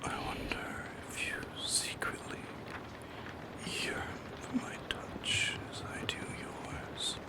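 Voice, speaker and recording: A man voicing a lonely, shy shadow creature in an ASMR roleplay, speaking in a soft, halting, intimate whisper with a creaky edge. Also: close to an everyday voice. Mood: shy yearning. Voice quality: breathy whisper with creaky edges.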